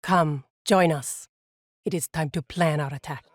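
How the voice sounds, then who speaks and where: A woman's voice: low voice, a little slow, no echo. An actress playing a proud, determined woman warrior who gives firm commands. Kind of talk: game cutscene acting.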